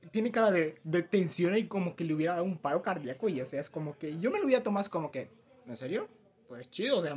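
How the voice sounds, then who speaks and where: A man's voice: high voice, breathy edge. A young man with a Latin American accent giving casual, slangy, playfully dramatic reaction commentary. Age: young adult. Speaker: gaming channel host reacting to a video. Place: small room at home. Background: music.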